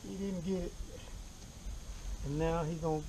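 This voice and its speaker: A man's voice: a little high, steady tone, unhurried, background noise. A man chatting to the camera and to the fish from a small boat on a lake, picked up by an action camera. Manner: chatty, talks to fish and viewers. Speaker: fishing vlogger. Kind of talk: casual talk to camera while fishing.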